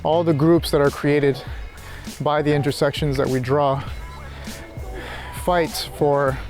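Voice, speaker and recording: Male walk-and-talk vlogger, slightly raised pitch, reflective and halting, casual delivery, light music underneath. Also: fairly even intonation, darkish tone. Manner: reflective, halting, thinking aloud. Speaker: philosophy tutor vlogger. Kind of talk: casual rambling monologue while walking. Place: outdoors, walking.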